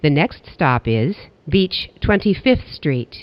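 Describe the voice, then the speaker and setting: A transit announcer reading scripted train announcements in a formal, measured way. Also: mid-range voice, sing-song, dry recording. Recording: dull, noisy train announcement recording.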